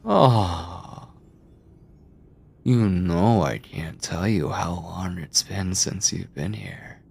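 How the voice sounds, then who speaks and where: A man playing an obsessive mad scientist captor in a horror ASMR roleplay, coldly doting and clinical, with condescending endearments, theatrical pauses and a breathy, husky voice. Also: low-pitched, expressive, a little mellow, heavily acted. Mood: controlling, menacing. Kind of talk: horror ASMR roleplay, intimate monologue to listener.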